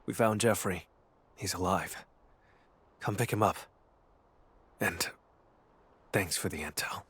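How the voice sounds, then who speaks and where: An actor voicing a brooding, determined male investigator in a video game, deliberate and grim in manner. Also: sits low, a bit bright, husky, exaggerated voice. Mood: matter-of-fact.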